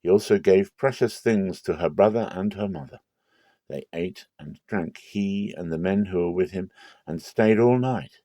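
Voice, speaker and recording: A man giving a dramatic scripture reading as narrator, with measured, solemn storytelling. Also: deep voice, animated, dark tone, dry recording. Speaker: Bible reader.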